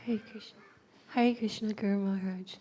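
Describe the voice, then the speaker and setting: An American woman giving a grateful personal testimony, soft-spoken and steady with small fillers. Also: medium pitch, moderate pace, slight background noise. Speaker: pilgrim sharing reflections.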